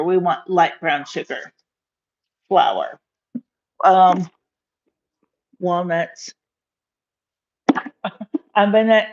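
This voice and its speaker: A woman in her fifties hosting a casual live cooking stream from her home kitchen, rambling with many fillers and little laughs, recorded on a phone on the counter. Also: mid-range voice. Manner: rambling, giggly, lots of fillers. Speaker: livestream cooking host. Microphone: phone camera on counter. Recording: dull lo-fi phone audio.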